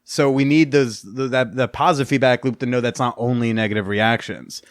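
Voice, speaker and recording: This is a man in his thirties hosting a casual podcast conversation. He talks in loose, rambling sentences with lots of "like" and casual profanity. The sound is dry and close to the mic, and his pitch sits in the middle range for a man. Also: fairly even intonation, a little fast, no room sound. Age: 30s. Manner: chatty, swears casually, laughs easily. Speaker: podcast host. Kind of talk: casual interview chat.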